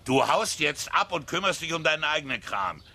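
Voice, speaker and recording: Harsh, raspy, sneering bully. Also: male voice, fairly high pitch, very animated, fairly quick, bright, forceful tone, heavily acted. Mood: gloating menace. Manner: threatening, coarse, taunting. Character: brutish, sneering small-town bully, spoiling for a fight. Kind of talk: German radio drama acting.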